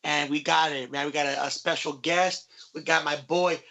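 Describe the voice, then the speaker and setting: High voiced guy cohosting sports pod, bright forceful sound, lots of slang. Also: steady tone, normal speed, vocal fry. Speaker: sports podcast co-host. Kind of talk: casual, slangy sports chat.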